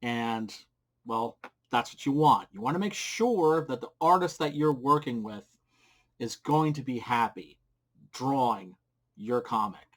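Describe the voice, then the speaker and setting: A man's voice: mid-range voice, expressive, a little slow, a bit bright. A middle-aged man giving casual, blunt advice to camera in an informal, crude-humored way. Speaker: comic book creator giving advice. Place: small indoor home room. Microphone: desk microphone with pop filter, close.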